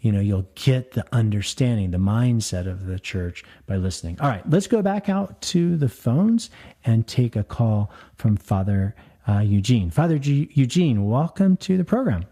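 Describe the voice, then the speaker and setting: A man's voice: medium pitch, lively intonation, moderate pace, mellow. A man, a priest, hosting a call-in radio talk show in a friendly, chatty way, with frequent fillers. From United States.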